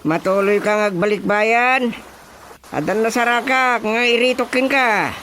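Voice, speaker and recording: A man with an Ilocano accent playing an eager, wheedling rural cousin in a radio drama comedy, flattering with hesitant pauses. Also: very high-pitched, a little hiss or hum, heavily acted.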